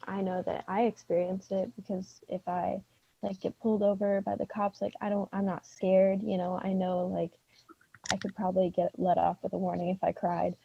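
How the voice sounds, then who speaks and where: A twenty-three-year-old woman speaks earnestly in an interview. Her voice is husky with a gravelly fry and a flat melody, on muffled, dry lo-fi audio. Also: medium pitch, dry recording. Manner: earnest, quick, fillers. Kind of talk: casual interview answer. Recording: dull lo-fi audio.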